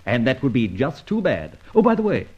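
An actor playing a roguish, self-assured gentleman adventurer in a vintage radio drama, with a breezy, bantering, theatrical manner. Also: mid-range voice, huge pitch swings, brisk, husky. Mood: breezy, cheerful. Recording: dull, muffled old radio recording.

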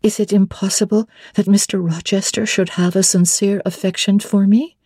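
An earnest, proud young woman, as played by a woman narrator, speaking directly in a mellow voice at middling pitch. It has the sound of a dramatized literary audiobook. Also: flat delivery, moderate pace, breathy edge, dry recording, studio quality, natural voice. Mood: nettled, defensive.

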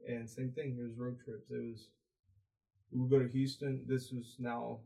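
A man's voice: mid-range voice, little pitch movement, normal speed, vocal fry, mellow, rough recording. A young man raised in Mexico, a podcast guest chatting casually, rambling with many fillers and restarts. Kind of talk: casual interview chat.

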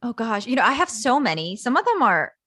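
Animated, self-deprecating woman telling stories. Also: a little high, brisk, slightly rough, no room sound. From Guatemala. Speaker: co-host. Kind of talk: casual personal storytelling in banter.

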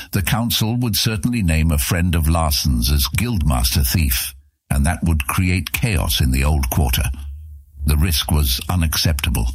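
A man narrating a scripted fantasy audiobook in a measured, dramatic manner. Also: very deep voice, breathy edge, no echo.

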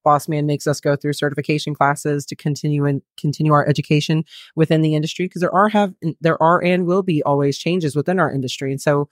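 A woman's voice: low-pitched, fairly even intonation, fast talker, no echo. A woman who owns a flooring company, interviewed on a radio show, talking in an upbeat, businesslike way into a close studio mic. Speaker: flooring company owner, guest. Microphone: close studio mic with headphones.

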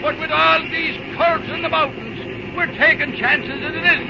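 A blustery old steam engineer in a vintage radio serial, pitched very high and arguing colorfully. Heavy engine din surrounds him on a muffled recording. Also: male voice, fairly even intonation, moderate pace, vocal fry, a crowd in the background, background noise. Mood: worried. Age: older. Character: feisty, protesting old steam locomotive engineer. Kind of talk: early radio drama acting. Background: loud rumbling locomotive effects.

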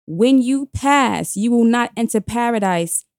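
A woman's voice: a little high, animated, a little slow, no room sound, clean studio sound. A woman, telling a personal story bluntly and emphatically in slangy casual chat. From Queens, New York.

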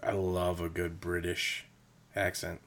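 A man's voice: deep voice, completely flat delivery, slow pace, gravelly, fairly bright tone. A man from Indiana with a slight Southern accent, co-hosting a podcast, telling stories and asking his co-host questions. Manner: upbeat storyteller, asks his co-host questions. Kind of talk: casual chat.

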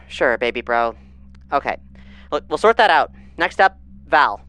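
A woman's voice: low-pitched, animated, measured pace, a bit bright. A woman playing a harried, bossy moon base leader in an audio drama, blunt, informal and sarcastic. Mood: sardonic, impatient.

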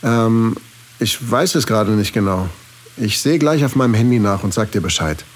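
A man's voice: lowish voice, expressive, normal speed, a little hiss or hum, lightly acted. A man acting a flirtatious single father in an intimate erotic audio drama, teasing and a little hesitant, narrating his own thoughts. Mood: casual, slightly awkward.

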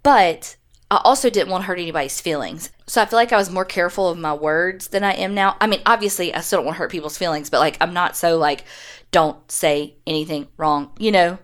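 A woman's voice: fairly low pitch, brisk. A woman co-hosting a podcast, chatting casually with plenty of swearing and self-deprecation. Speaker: podcast co-host. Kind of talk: casual, profane banter.

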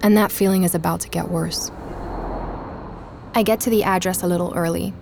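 A woman's voice: lowish voice, steady tone, brisk, vocal fry, a little mellow. An actress playing a curious, polite woman investigator in a naturally spoken audio drama. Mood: reflective, suspenseful. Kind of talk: audio drama, intimate narration and natural dialogue.

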